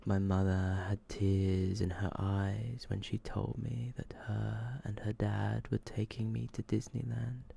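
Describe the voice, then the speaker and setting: A man with a very low, creaky voice reads scary stories in an ASMR style. His delivery is slow and hushed, often sinking toward a whisper, with a dark, mellow tone. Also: flat delivery. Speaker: ASMR host reading short horror stories. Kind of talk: ASMR scripted narration.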